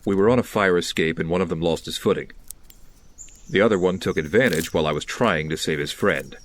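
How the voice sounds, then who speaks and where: A man acts a brooding masked vigilante with a very low voice. He is stoic and stubborn, delivering everything in an understated, matter-of-fact audio drama style. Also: fairly quick, close to an everyday voice.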